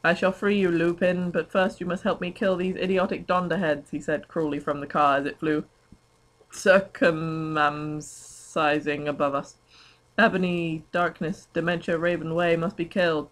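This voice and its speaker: A woman's voice: sits low, fairly bright tone. Giggly woman doing a mock-dramatic reading. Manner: giggly, breaking into asides. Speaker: amateur co-reader in a fan fiction dramatic reading. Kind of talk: mock-dramatic reading mixed with casual chat.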